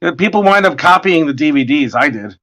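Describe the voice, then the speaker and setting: Hoarse man, bright high voice, jokey and excitable, casual stream host. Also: a little fast, highs cut off. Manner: jokey, excitable, swears freely. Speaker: artist hosting a livestream. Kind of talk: casual chat while drawing.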